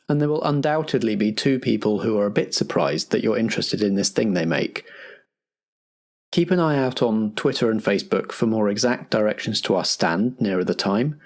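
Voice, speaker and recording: Theatrical male podcast compere. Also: medium pitch. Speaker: podcast host and game master. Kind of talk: scripted podcast announcement.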